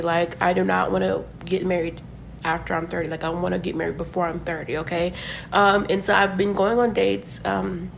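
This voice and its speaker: A woman's voice: medium pitch, gravelly, slight background noise, poor audio. Chatty young woman telling a dating story. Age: 20s. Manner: chatty, many fillers and asides. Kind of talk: casual storytime to camera.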